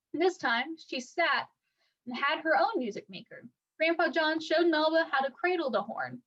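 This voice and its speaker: A woman reading a picture book aloud for children like a teacher, with expressive, deliberate storytelling. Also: high voice, highs cut off.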